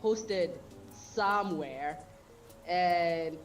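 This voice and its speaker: A woman's voice: on the low side, extremely slow, faint music behind, rough recording. A woman, hosting a casual livestream chat and laughing often. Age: 30s. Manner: chatty, lively, laughs often. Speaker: stream host.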